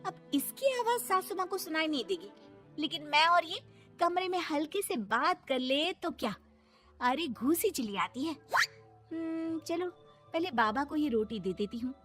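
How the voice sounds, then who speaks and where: Cartoon young wife, woman acting: very high voice, flustered, chatty, whiny pleading. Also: expressive, measured pace, fairly bright tone, soft background music. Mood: chatty, eager. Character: flustered, forgetful, chatty young daughter-in-law.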